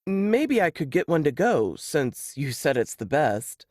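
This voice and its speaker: A man's voice: fairly high pitch, very animated, a little slow, darkish tone, no echo, clean studio sound. A woman voicing a shy, nervous young male grad student in an audiobook story, hesitant and polite. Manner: hesitant, embarrassed, apologetic. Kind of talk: audiobook character dialogue.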